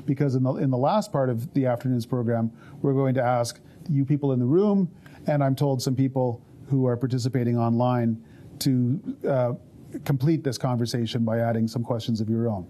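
Middle-aged male panel moderator on a lapel mic, warm low tone, halting delivery with dry wit. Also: steady tone. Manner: halting, many restarts, dry humor. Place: stage of a conference room.

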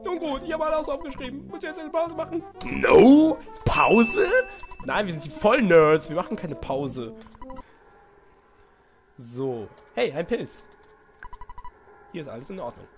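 A man with a cold doing goofy, excitable let's-play commentary and reading game dialogue aloud. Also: sits high, measured pace, pauses often. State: has a cold. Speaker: let's player. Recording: music playing behind voice.

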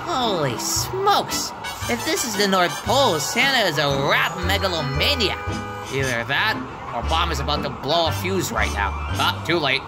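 A performer in a comic dub voicing a bossy, worried bird leader with an emphatic, exclamatory delivery, over loud music. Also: mid-range voice, expressive, moderate pace, lightly acted. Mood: startled, dismayed. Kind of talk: comic dub character acting.

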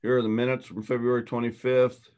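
A man's voice: mid-range voice, level tone, fast, darkish tone, lo-fi sound. A man chairing a board meeting over a video call, walking through the agenda item by item in a businesslike way.

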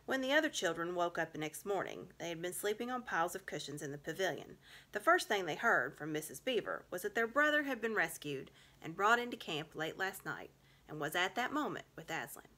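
Female storybook reader, middle-aged, slightly low pitch, bright tone, home recording. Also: very animated, a little fast. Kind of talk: reading a children's storybook aloud. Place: small home room, indoors.

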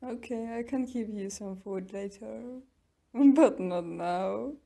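A woman playing a smug, taunting tsundere captor in an ASMR roleplay, mocking and teasing with drawn-out pauses. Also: medium pitch, gravelly, mellow. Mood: dismissive, taunting. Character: smug, taunting tsundere young woman keeping a captive werewolf.

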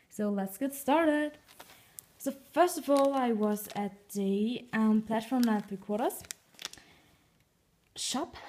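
A woman's voice: a little high, mellow, a little hiss or hum. A young woman from Austria, speaking English with a German-speaking Austrian accent, showing off souvenirs in a casual, hesitant haul video. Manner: slow, hesitant, frequent ums and giggles. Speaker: vlogger. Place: bedroom at night, indoors.

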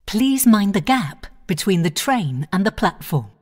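Slow, lilting female train announcer. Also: mid-range voice, big pitch swings, a little mellow. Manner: measured, clipped station-by-station phrasing.

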